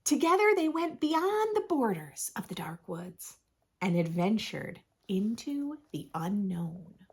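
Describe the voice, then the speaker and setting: A woman narrates a children's picture book with very animated, swooping pitch. She takes her time, pausing for drama and stretching key phrases. Her voice has a soft, husky edge. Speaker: children's storybook reader, host. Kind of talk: expressive read-aloud narration for preschoolers.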